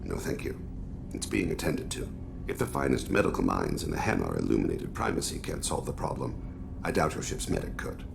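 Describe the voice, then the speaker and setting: Game role: courteous, ailing alien assassin, formal and calm, extremely deep, heavily rasping fry, lively inflection. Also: male voice, noisy background, heavily acted. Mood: calm, reserved. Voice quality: gravelly. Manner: formal, measured, polite. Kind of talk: video game dialogue.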